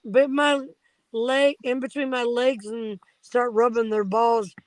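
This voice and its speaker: A woman chatting crudely and excitably on a casual panel. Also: a little high, takes it slowly, dry recording.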